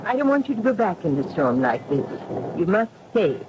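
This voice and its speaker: A woman's voice: medium pitch, sing-song, fairly quick, natural voice. An actress playing a solemn, commanding aristocratic lady medium in a theatrical 1970s radio mystery. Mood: fussing, insistent. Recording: muffled, hissy 1970s radio recording.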